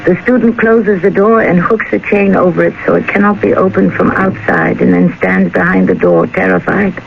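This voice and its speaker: Gravelly, high-pitched male radio host. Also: flat delivery. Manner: solemn, measured, ominous. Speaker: host and narrator. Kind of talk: dramatic scripted radio narration. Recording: tinny, noisy old radio recording.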